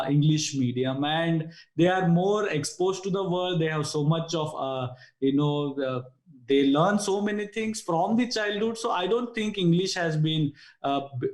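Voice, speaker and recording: A man's voice: on the high side, darkish tone, echoey room. A man with an Indian accent, a native Konkani speaker, answering interview questions in a hesitant way with many fillers. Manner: hesitant, frequent "you know" fillers. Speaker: guest dentist.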